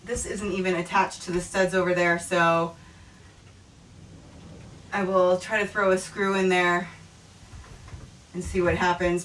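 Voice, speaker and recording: A woman's voice: medium pitch, rough recording. A woman in her thirties casually explaining her trailer renovation as she works, thinking aloud and joking at her own expense. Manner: chatty, self-deprecating, thinking aloud. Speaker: DIY renovation vlogger. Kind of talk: casual how-to narration. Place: outdoors on a driveway, and inside a camper trailer. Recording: loud outdoor noise behind.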